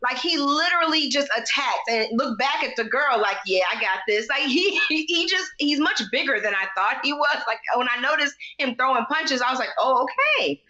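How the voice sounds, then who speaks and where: A woman's voice: sits high, moderate pace, hardly any pauses, bright, forceful tone. A woman co-hosting a casual TV recap discussion in colloquial, slangy speech, on slightly dull, lo-fi audio. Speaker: podcast co-host.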